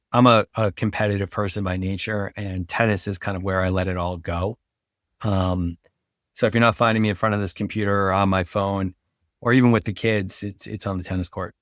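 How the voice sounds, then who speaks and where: A man's voice: on the low side, a bit bright, no echo, clean studio sound. A middle-aged man speaking casually as an interview guest, making his points emphatically and repeating phrases. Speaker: podcast guest, brand marketing expert.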